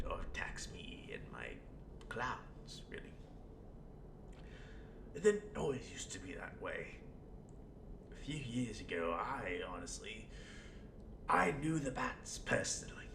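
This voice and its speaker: Male amateur performer as scheming clown villain, low gravelly voice, sardonic rambling, erratic muttering and outbursts, roleplay monologue, roomy echo. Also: very animated, slow, exaggerated voice. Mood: scheming, persuasive, then bitter. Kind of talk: in-character audio roleplay monologue. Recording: roomy echo, faint rumbling background noise.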